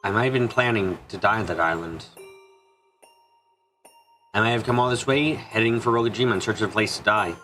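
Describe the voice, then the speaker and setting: A man's voice: on the low side, a little fast, pauses often, a bit bright. A man reading scripted first-person story narration for a visual novel fan dub, with music behind him.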